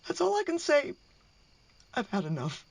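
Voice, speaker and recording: A woman's voice: high voice, wildly swooping pitch, moderate pace, creaky, a little mellow, dry recording, full character voice. A woman playing a hurt, sarcastic middle-aged wife and mother in a radio play, speaking with open emotion. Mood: bitter, anguished.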